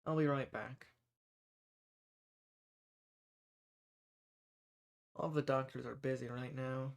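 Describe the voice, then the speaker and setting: A man's voice: mid-range voice, vocal fry, close to an everyday voice. A man playing a kind, folksy human rebel who earnestly reassures and shelters monsters in a scripted audio roleplay. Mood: friendly, reassuring. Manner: folksy, reassuring, earnest, uses y'all and ain't. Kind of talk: scripted audio roleplay, speaking softly to the listener.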